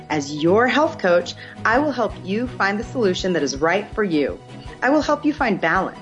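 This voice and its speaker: A woman's voice: medium pitch, lo-fi sound. A woman who hosts a podcast and works as a health coach, speaking to listeners and guests. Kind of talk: podcast interview and scripted promo.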